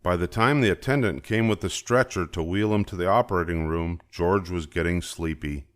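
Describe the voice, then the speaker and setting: Older male storybook narrator, very low voice, expressive read-aloud for young children. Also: normal speed. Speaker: storybook reader.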